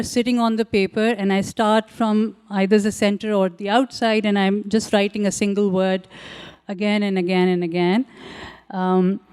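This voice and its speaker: A woman artist whose first language is Urdu, speaking reflectively on a panel in a large auditorium, pausing often with fillers and restarting sentences. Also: mid-range voice, darkish tone, clear, resonant tone. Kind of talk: panel talk about her art.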